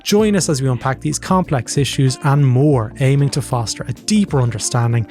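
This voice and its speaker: A man's voice: mid-range voice, moderate pace, darkish tone, soft background music. Jokey male podcast host. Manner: jokey, playful asides. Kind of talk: scripted intro then casual interview chat.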